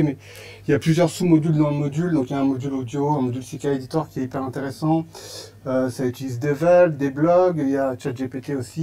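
French male presenter, casual, hesitant delivery, warm low tone. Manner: hesitant, many fillers and restarts. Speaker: meetup presenter. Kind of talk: casual conference talk. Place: meetup room with audience.

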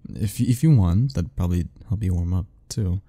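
A man's voice: sits low, normal speed, warm tone, husky. A young man doing an ASMR roleplay as a teasing, easygoing roommate talking to the listener, laughing often.

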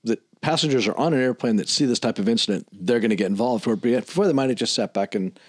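A man's voice: medium pitch, quick pace, dry recording. A middle-aged man talking casually in a podcast discussion, close to the microphone. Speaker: podcast co-host discussing aviation. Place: small office studio, indoors. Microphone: close podcast mic on boom arm.

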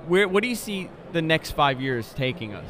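A man's voice: a little high, sing-song, moderate pace, fairly bright tone. A man in his thirties hosting a casual, conversational interview, speaking close to a studio microphone. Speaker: interviewer, podcast host. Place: convention expo booth, indoors. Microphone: close studio microphone on desk.

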